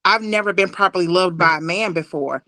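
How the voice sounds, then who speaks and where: A woman's voice: lowish voice, fairly quick, bright, forceful tone, no echo. A woman in her forties talking earnestly and emotionally as a guest in a heartfelt interview on a video call. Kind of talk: heartfelt personal interview talk.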